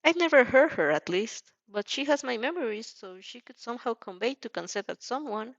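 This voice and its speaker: A woman voicing a bashful, bright six-year-old girl who rambles in an awkward, earnest mumble for an audio story. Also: fairly high pitch, lively intonation, fairly quick, no echo, dull audio, pitched above the performer's own voice. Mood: pensive.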